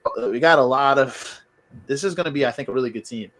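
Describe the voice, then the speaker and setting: A man's voice: medium pitch, fast talker. Laid-back male podcast host. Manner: relaxed, talks to the guests as friends. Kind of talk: casual sports chat.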